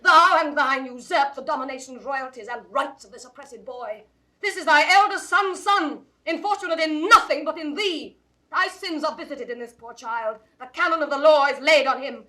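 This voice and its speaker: Shrill, bitterly scornful mother. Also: moderate pace, pushed and bright, rough recording. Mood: bitter accusation. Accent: British English, old theatrical received pronunciation. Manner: biting, mocking, impassioned declamation. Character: bitter, fiercely protective mother, scornful and accusing. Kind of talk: mid-century classical Shakespearean stage acting.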